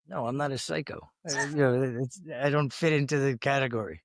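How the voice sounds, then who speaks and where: A man's voice: mid-range voice, fairly even intonation, a little fast, no echo, clean studio sound. A man co-hosting a casual talk podcast, rambling and often restarting and repeating his words. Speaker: podcast co-host. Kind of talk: casual chat.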